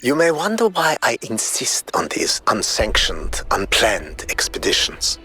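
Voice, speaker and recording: A man playing a grave, philosophical robot explorer who scorns nature, delivering a measured, eloquent game audio log monologue. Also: medium pitch, huge pitch swings, pushed and bright, faint music behind, full character voice. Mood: reflective.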